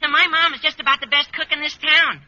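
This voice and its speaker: A man playing an eager, guileless high-school boy in an old radio comedy, gushing earnestly with an adolescent crack in his delivery. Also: fairly even intonation, quick pace, pushed and bright, full character voice. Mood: gushing, enthusiastic. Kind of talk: 1940s-50s radio sitcom acting. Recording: muffled vintage radio recording.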